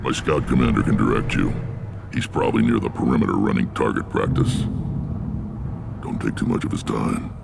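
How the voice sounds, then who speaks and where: An actor playing a gruff old alien clan chief in a video game, dry, sardonic and commanding, with a gravelly rumble. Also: male voice, fairly even intonation, a little fast, exaggerated voice. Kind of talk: video game character acting. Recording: noisy game ambience behind.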